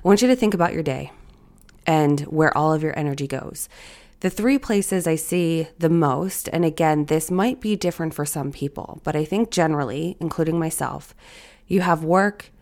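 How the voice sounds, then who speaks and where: A woman in her thirties hosting a solo podcast, her voice raspy and hoarse, talking in a reflective, encouraging way straight to her listeners. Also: sits low, normal speed, a little mellow. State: hoarse from allergies or illness. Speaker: podcast host.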